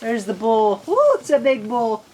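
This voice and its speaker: A woman's voice: a little high, normal speed, mellow. A woman reads a children's storybook in a folksy, playful way, chatting in casual asides as she hosts. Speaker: farm storyteller host. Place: outdoors on a farm in cold weather. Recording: outdoor farm ambience, background noise. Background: faint outdoor ambient noise.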